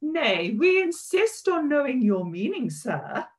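A woman's voice: high-pitched, big pitch swings, takes it slowly, exaggerated voice. A woman playing a coy, snobbish young society lady in a period radio play, with an arch, affected manner. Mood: mock outrage, teasing. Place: home kitchen, on a video call. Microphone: video call.

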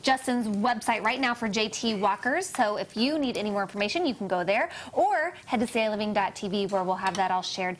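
A woman's voice: medium pitch, expressive, a little fast, few pauses. An American woman in her thirties co-hosting a lifestyle TV segment in a casual style. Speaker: TV show co-host. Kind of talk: casual morning-show hosting. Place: indoor TV studio kitchen. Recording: clear pan sizzle and kitchen noise.

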